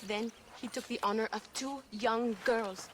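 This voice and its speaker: A woman's voice: fairly high pitch, steady tone, a little slow, slight background noise, close to an everyday voice. An actress playing a passionate, earnest young Mexican woman revolutionary in a video game, speaking formally and emphatically with a Mexican accent. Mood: grieving, pleading. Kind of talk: dramatic video game cutscene acting.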